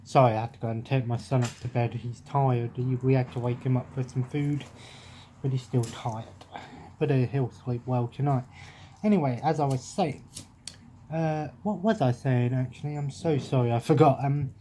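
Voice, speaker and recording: A man's voice: mid-range voice, dark tone, a little hiss or hum. A young man with an English accent, chatting loosely to his webcam from a sofa at home, wandering off track and restarting his sentences. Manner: rambling, absent-minded, many restarts. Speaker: gaming vlogger. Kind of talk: casual chat to camera. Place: living room at home, sofa. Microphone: webcam at close range.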